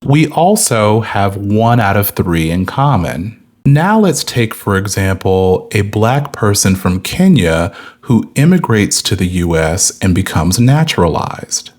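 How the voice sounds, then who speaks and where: Low-voiced American man, measured explainer. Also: lively intonation. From United States. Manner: measured, deliberate, methodical. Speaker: commentator presenting an explainer. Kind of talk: scripted explanatory narration.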